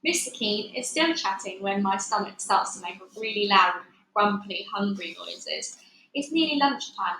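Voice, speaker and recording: Female children's book reader, bright and animated delivery, echoey room with faint noise. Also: mid-range voice, moderate pace. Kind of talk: animated read-aloud narration.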